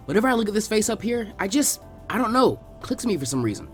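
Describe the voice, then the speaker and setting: A man puts on a young boy ninja from a story, pitched high and lively. He is brash and cheeky. His talk comes out blunt, eager and slangy. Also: fast talker, faint music behind. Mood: curious, eager. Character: brash, excitable twelve-year-old boy ninja student, cheeky. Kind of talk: character voice in fan fiction reading.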